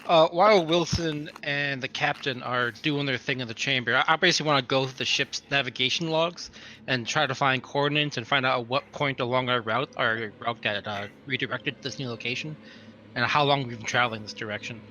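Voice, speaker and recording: Male tabletop player, casual in-game talk, sharp forward tone, some noise behind. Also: mid-range voice, brisk, poor audio.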